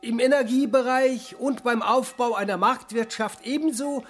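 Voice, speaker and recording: High-voiced pompous church official. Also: male voice, normal speed, soft background music. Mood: solemn. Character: pompous male church official soliciting money. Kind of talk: formal speech in radio drama.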